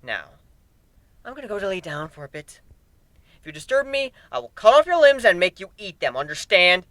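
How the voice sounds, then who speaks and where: Guy doing a grumpy worn out griffin in a story, sarcastic, bright punchy voice, real dry sound. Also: high voice, very animated, fairly quick, no echo, voice pushed up. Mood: angry, menacing. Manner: grumbling, sarcastic, prone to cursing outbursts. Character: exhausted, foul-mouthed, sarcastic male griffin, hot-tempered storyteller. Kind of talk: dramatic first-person story reading.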